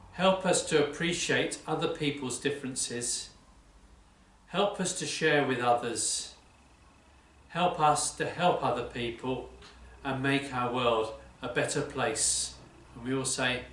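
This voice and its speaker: Man gently leading children in prayer. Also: medium pitch. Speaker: children's church leader. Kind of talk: praying aloud to children. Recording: echoey room, distant mic, faint hiss.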